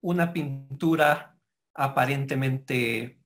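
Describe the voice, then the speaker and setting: A man delivers a formal lecture in a mid-range voice. He speaks in a measured, explanatory way, pausing now and then and correcting himself as he goes. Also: medium pitch, fairly bright tone, soft background music. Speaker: guest lecturer.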